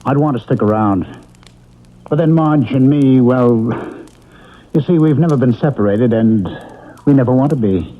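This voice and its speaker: A man's voice: mid-range voice, normal speed, pauses often, close to an everyday voice. An actor playing a sincere, plainspoken, devoted husband who tells his own story in a radio drama. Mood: earnest. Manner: earnest, plainspoken. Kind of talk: 1970s radio drama acting and narration. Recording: muffled vintage radio broadcast.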